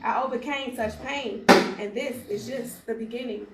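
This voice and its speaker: A woman giving an emphatic, declamatory spoken-word poetry reading with deliberate pauses. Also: a little high. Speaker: poet reciting own poem. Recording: roomy echo, distant mic, faint noise.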